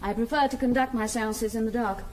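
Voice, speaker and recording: An actress playing a composed woman spirit medium in a British radio drama, giving formal, measured instructions. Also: a little high, steady tone, noisy background, natural voice. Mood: calm, commanding.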